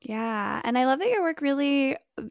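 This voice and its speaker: A woman's voice: high voice, animated, moderate pace, music in the background. A woman hosting a podcast, in earnest, open conversation with a guest, stretching her words for emphasis. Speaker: podcast host. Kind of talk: candid interview chat.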